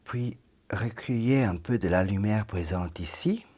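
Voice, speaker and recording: A man guiding a yoga nidra session in a very breathy, husky, low voice. He speaks slowly and calmly, and his lines often sink into a gentle half-whisper. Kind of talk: guided meditation.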